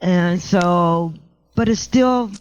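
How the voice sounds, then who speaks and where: Low-voiced woman, chatty and self-joking. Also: drawn-out words, clean tone, muffled recording. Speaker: guest, house painter. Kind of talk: casual podcast interview.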